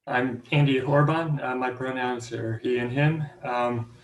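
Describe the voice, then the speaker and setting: A man in his forties giving an informal self-introduction in a hesitant, halting way. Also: medium pitch, fairly even intonation. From Hungarian-born, grew up in the Washington DC area. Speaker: meeting participant, architect. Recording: roomy echo with faint noise.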